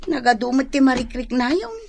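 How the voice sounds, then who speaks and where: A woman with an Ilocano accent acting a firm, caring, gently scolding younger sister in a radio drama. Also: high-pitched, big pitch swings, brisk, vocal fry, a little hiss or hum, full character voice. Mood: concerned. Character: dutiful younger sister back from work abroad, firm and caring.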